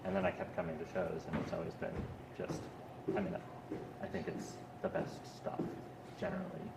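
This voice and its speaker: A man interviewing people in an art gallery, relaxed and hesitant with many fillers. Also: deep voice, normal speed, gravelly. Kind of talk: casual interview talk. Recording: echoey gallery with background noise.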